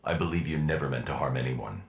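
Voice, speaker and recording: An actor playing a grim, consoling video game vigilante with a deep, gravelly voice and measured, stern delivery. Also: male voice, lively intonation, roomy sound, dull audio, full character voice. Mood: solemn sympathy. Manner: measured, stern but sympathetic.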